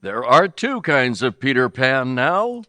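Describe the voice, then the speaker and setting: A man's voice: mid-range voice, very animated, slow, sharp tone, no echo, exaggerated voice. A man playing a grand, dramatic 1940s radio announcer with a polished delivery. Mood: salesmanlike.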